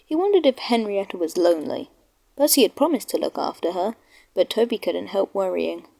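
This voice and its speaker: A woman's voice: medium pitch, very animated, brisk, creaky, dark tone. A woman narrating a children's storybook from a script.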